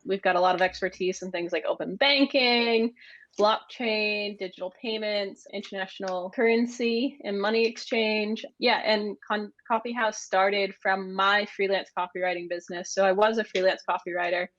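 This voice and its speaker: A woman's voice: mid-range voice, fairly even intonation, moderate pace, fairly bright tone, no room sound, lo-fi sound. A woman from the United States with an American accent, answering conversationally as an interview guest. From United States (Maine). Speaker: interview guest, agency managing director.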